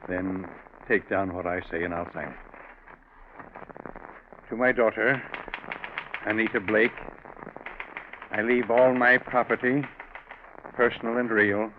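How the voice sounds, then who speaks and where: An actor playing a grave, secretive, middle-aged bank president, formal and deliberate with some hesitation, in 1940s radio drama style. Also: male voice, lowish voice, fairly even intonation. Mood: firm, businesslike. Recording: noisy, narrow-band 1940s radio recording.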